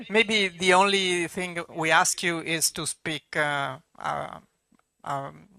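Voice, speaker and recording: A native Italian speaker with an Italian accent hosting an interview in English, halting and searching for words. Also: mid-range voice, steady tone, creaky, sharp tone. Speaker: cinema host interviewer. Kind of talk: interview questions.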